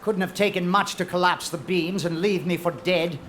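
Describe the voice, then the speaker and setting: A man playing a bitter, obsessive treasure hunter in a macabre audio drama, speaking with deliberate theatrical menace. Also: sits high, fairly bright tone, slight background noise. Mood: bitter, accusing. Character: bitter, obsessive male treasure hunter, vengeful rival named Jacob.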